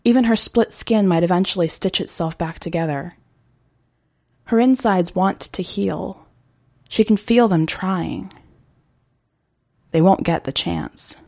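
A woman narrating a short story in a measured, dramatic way, as a podcast host reading scripted fiction. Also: fairly low pitch, gravelly, a little mellow, no room sound, clean studio sound.

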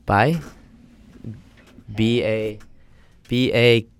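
A man's voice: lowish voice, drawn-out words, clean tone. A young man chatting casually in an easygoing, rambling way, with lots of "like" and "you know". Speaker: conversation partner. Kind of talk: casual chat.

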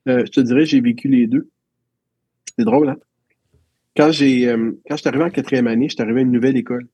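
A man's voice: mid-range voice, lively intonation, quick pace, vocal fry, dark tone, husky, no room sound, dull audio. A man with a Quebec French accent, a teacher reflecting on his schooling in a casual interview, telling stories with frequent restarts. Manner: reflective, storytelling with many restarts.

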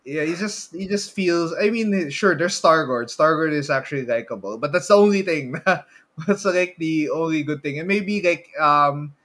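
Male podcast co-host, Filipino accent, slightly high voice, casual chatter, quick to chuckle. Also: a bit bright. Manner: chuckles often while talking. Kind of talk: casual chat about films and games.

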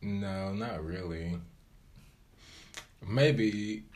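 A man's voice: fairly low pitch, very slow, darkish tone. A man co-hosting a podcast in casual, slangy, sometimes profane conversation. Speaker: podcast co-host.

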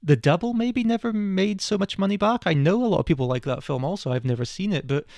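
A man's voice: high-pitched, lively intonation, quick pace, a little mellow, dry recording. A man hosting a film podcast, talking in a casual, discursive style.

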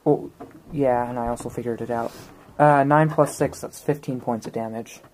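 A woman's voice: a bass voice, fairly even intonation, moderate pace, darkish tone, slight background noise. A woman playing a tabletop game, chatting casually as one of the players.

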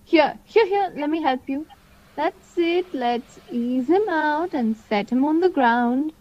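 A woman's voice: sits high, lively intonation, a little mellow, highs cut off. A woman acting in an audio drama as a confident leader coolly instructing her partner on a covert exercise. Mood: controlled. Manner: instructing, deliberate, commanding.

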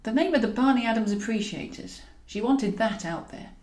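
A British woman reads comic fiction as an audiobook narrator. Her delivery is wry and very animated in pitch. The recording has an audible roomy echo. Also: normal speed. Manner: wry, expressive. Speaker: author and narrator.

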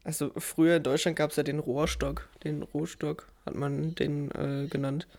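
Gravelly man asking questions. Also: fairly high pitch, level tone, normal speed, a little mellow. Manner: curious, asks follow-up questions. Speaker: interviewer. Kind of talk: casual conversation.

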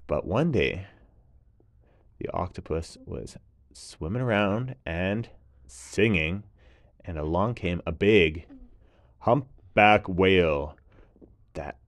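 A man narrating a children's bedtime story in a gentle, drawn-out way with repeated phrases. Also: low-pitched, expressive, dry recording.

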